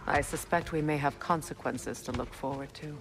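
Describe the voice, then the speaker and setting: An actress playing a wise, resolute mature goddess in a video game, speaking in a calm, earnest, measured way. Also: female voice, sits low. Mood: calm, matter-of-fact. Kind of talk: video game drama acting. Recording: clear background noise and ambience.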